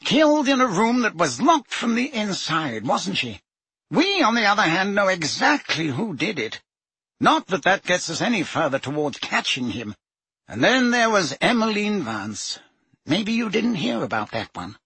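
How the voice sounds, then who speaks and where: A man putting on a jittery wizard minister whose voice climbs high and swings wildly as he defends himself. The tone is bright and husky, and he is always on the back foot. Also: very animated, dry recording, exaggerated voice. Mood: miserable. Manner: agitated, rapid and defensive. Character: flustered, anxious male wizard minister.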